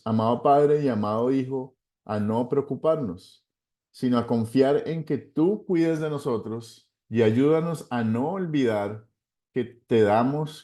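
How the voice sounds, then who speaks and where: A man's voice: mid-range voice, mellow. A Colombian man, a pastor, casually hosting an online class in an encouraging way with short repeated acknowledgements. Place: online video call.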